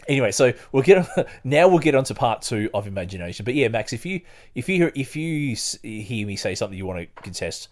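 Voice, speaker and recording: A man hosting a podcast, talking casually and haltingly as he thinks aloud or reading from a book. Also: mid-range voice, very animated. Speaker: podcast host.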